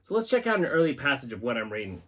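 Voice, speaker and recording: A man's voice: medium pitch, big pitch swings, racing, a bit bright. A man hosting a hobby channel, talking to viewers and reading aloud from written material. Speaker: host of a reptile-keeping channel. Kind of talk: casual talk to viewers and reading a scientific paper aloud.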